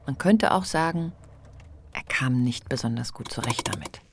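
An actress playing the composed narrator of a literary radio drama. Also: female voice, very deep voice, animated, normal speed, background noise. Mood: composed, storytelling.

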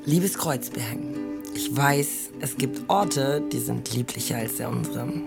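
A woman's voice: rumbling low, takes it slowly, vocal fry, full character voice. A woman playing a dreamy old male poet in a radio play, declaiming wistfully and lyrically. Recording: loud guitar music behind the voice.

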